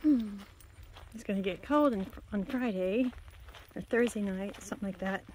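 A woman's voice: mid-range voice, normal speed, a little mellow, slight background noise, low-quality recording. A woman vlogger chatting casually and unscripted to viewers while out walking, a little out of breath, recorded on a handheld phone outdoors. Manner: rambling, unscripted, addressing viewers. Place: outdoors on a rural gravel road.

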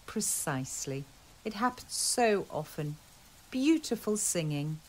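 A woman's voice: mid-range voice, very animated, a little slow, a little mellow, poor audio. An actress playing a serene local woman who talks knowingly about strange happenings. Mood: dreamy.

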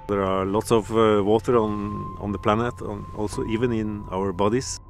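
Norwegian man, musician, gravelly, low, calm reflective interview, music behind. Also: moderate pace. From Norway. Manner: calm, reflective, slightly non-native phrasing. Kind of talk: documentary interview.

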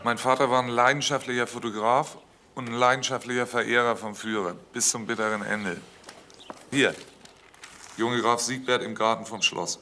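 A man's voice: medium pitch, steady tone, moderate pace, sharp tone, a little hiss or hum, close to an everyday voice. A man playing a gossipy, scornful villager in a crime drama, talking in a wry, colloquial way. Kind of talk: German crime drama acting.

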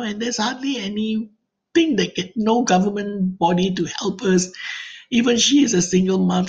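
A woman's voice: medium pitch, slightly rough. Worried older woman on voicemail. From Malaysia. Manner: worried, pleading, rushed run-on telling. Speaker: voicemail caller. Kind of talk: phone voicemail. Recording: voicemail phone line.

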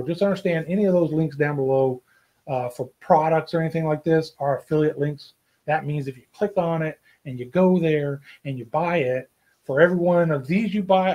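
A man's voice: fairly high pitch, darkish tone. A man, chatting to camera from a small home office and often checking in with "right?" and "okay?". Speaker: outdoors vlogger. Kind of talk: casual to-camera vlog. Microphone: desk camera, head and shoulders.

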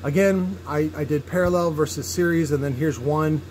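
A man with a fairly high voice talks through hands-on technical tests in a casual, unscripted way. He pauses and restarts as he thinks aloud, and is free with his opinions. The recording is from a handheld device in a workshop, with a light layer of background noise. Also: a little mellow. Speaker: host demonstrating speaker tests. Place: indoor workshop at a cluttered bench. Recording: noisy workshop with background hum.

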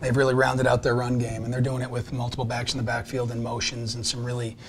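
A man in his forties, a head football coach, answering studio interview questions in a measured, thoughtful way with frequent fillers, on a lapel mic. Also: medium pitch, completely flat delivery, a little hiss or hum. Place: small TV studio.

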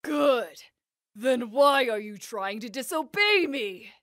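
A woman's voice: a little high, lively intonation, takes it slowly, fairly bright tone. A woman voicing a vain, bullying lady pirate captain in a manga dub, haughty and commanding. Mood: suspicious, angry. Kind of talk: anime-style manga dub acting.

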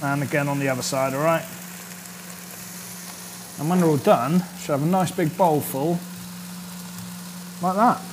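A man's voice: on the high side, a little slow, long pauses, noisy background. A man in his thirties, a chef hosting a casual cooking demonstration in a studio kitchen, chatty and upbeat and often checking in with his viewers. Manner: chatty and upbeat, keeps checking in with "all right?". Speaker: chef and cooking show host. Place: indoor studio kitchen set. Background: sizzling and frying from the pan.

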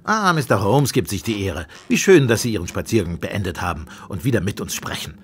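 A man's voice: fairly low pitch, sing-song, a little fast, slight background noise. An actor playing a sarcastic, combative male police inspector in a German radio play. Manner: sarcastic, indignant.

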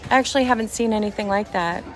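A woman's voice: mid-range voice, very fast. A woman hosting a casual shopping vlog at an outdoor market booth, gushing over the items with short admiring exclamations. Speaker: host.

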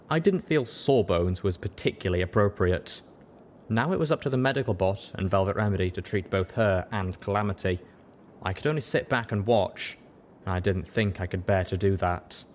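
A man's voice: on the low side, fairly quick, slight background noise. A man reading scripted story narration as an audiobook narrator. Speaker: audiobook reader.

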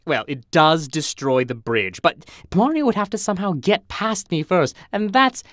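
A man's voice: a little high, lively intonation, normal speed, a bit bright, dry recording, studio quality. A man voicing a huge, vain and dim turtle monster boss in a comedy cartoon, blustering and sputtering. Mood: smug, defensive.

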